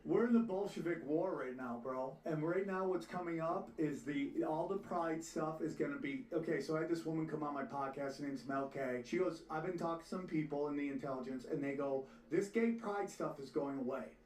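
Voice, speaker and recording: A man's voice: on the high side, fairly quick, hardly any pauses, a little mellow. A man hosting a podcast, casually retelling a story in a conversational way. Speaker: podcast host. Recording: echoey, distant playback of a podcast clip.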